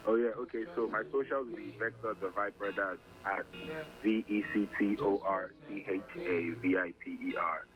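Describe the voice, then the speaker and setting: A man who is a rapper, answering as a guest on a radio interview in a reflective way, often pausing with "um". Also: on the low side, steady tone, music in the background, rough recording. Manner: reflective, hesitant, many "um"s.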